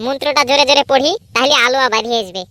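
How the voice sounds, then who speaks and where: Woman voicing a high, bossy scheming cartoon boy. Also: racing, sharp tone. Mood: gloating. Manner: impatient, commanding, boastful. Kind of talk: amateur comedy cartoon dub.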